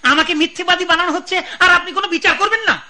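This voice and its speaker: A man's voice: very high-pitched, fast, pushed and bright, full character voice. A man playing a whiny, scheming businessman who takes offense and keeps asking "huh?" in a radio drama. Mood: indignant. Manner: whining, indignant, repeats "huh?". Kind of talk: broadcast radio drama acting.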